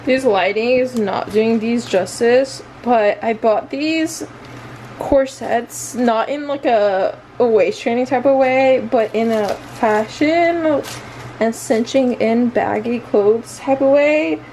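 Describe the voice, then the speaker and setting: A woman's voice: on the high side, takes it slowly, a little mellow, slight background noise, low-quality recording. A woman chatting casually in a vlog, rambling along in a self-deprecating way. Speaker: vlogger.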